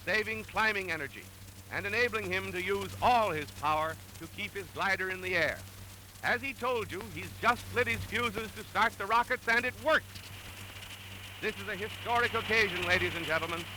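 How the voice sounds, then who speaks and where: A man's voice: high-pitched, noisy background. A man giving breezy, showman-like 1930s newsreel commentary, addressing the audience directly.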